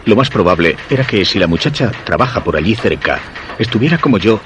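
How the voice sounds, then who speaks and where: A man's voice: fairly low pitch, animated, brisk, fairly bright tone, a little hiss or hum. An actor playing a lovesick, brooding man narrating his diary in a radio drama, with an accent from Spain. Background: music.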